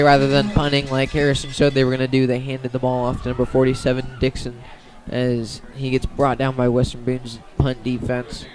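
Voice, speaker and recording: A man's voice: medium pitch, a little mellow. A man giving radio-style play-by-play commentary on a football game.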